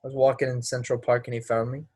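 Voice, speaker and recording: A man's voice: mid-range voice, level tone, fast talker, a little mellow, no room sound, natural voice. A man playing a brash, resentful young actor in a play reading, speaking in a blunt, casual, clipped way. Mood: casual, aloof. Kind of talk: play readthrough acting.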